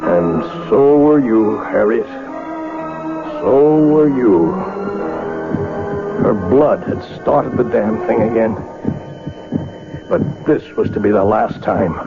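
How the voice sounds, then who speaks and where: Guilt-ridden clockmaker, tinny old radio. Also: male voice, lowish voice, wildly swooping pitch, takes it slowly, pauses often, exaggerated voice. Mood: brooding, guilt-ridden. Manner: brooding, melodramatic, literary phrasing. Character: guilt-ridden, half-mad clockmaker confessing his murders. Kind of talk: old-time radio drama acting, first-person narration. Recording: tinny, phone-like vintage radio recording. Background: dramatic music.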